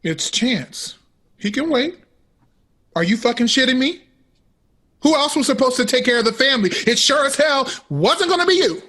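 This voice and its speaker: Sarcastic, defensive older sibling for audio drama: husky, highish voice with big swings. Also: female voice, sing-song, moderate pace, pauses often, fairly bright tone, heavily acted. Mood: angry, defensive. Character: resentful, dutiful older sibling carrying the family.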